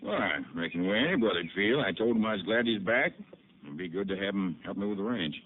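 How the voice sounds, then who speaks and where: A nervous, deep-voiced rancher. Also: male voice, lively intonation, fast. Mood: evasive. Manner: hesitant, defensive country drawl. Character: nervous evasive male rancher, victim's half-brother. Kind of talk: 1950s radio drama acting. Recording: tinny lo-fi old radio broadcast with faint hiss.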